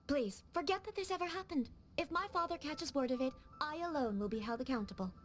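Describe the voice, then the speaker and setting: A woman's voice: high voice, quick pace, soft background music, lightly acted. A woman playing a composed, gracious young noblewoman in a video game, speaking formally and politely. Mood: calm resolve.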